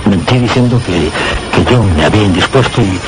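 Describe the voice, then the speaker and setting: An actor with a Castilian Spanish accent playing a shaken man who recounts a supernatural horror in halting, dramatic radio narration. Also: fairly low pitch, animated, over loud music, close to an everyday voice. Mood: uneasy. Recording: very noisy radio drama soundtrack.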